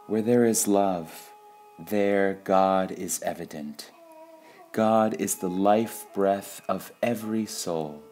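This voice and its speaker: A man reads short spiritual sayings in a measured, deliberate devotional style, pausing between phrases. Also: deep voice, a little mellow, a little hiss or hum. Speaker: reader of spiritual quotations. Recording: music under the voice. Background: background music.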